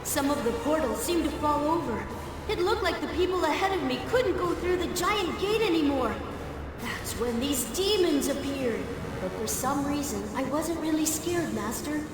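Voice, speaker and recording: An actress playing an earnest, brave young female student in a video game, with an eager, halting way of talking. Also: sits high, lively intonation, noisy background. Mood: earnest, wondering. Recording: very echoey hall, distant, music behind.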